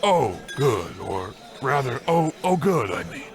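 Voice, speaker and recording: An actor playing a shifty, nervous, vain dive-bar bartender with a halting, evasive way of talking, in an old-style radio comedy. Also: male voice, medium pitch, sing-song, faint music behind.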